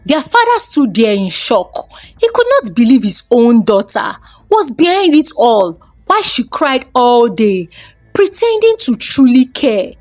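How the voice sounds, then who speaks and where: A woman narrates a scripted folktale in dramatic storyteller style. Her voice sits high and moves through a wide range, and she takes her time over the words. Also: sing-song, a little slow, a little mellow.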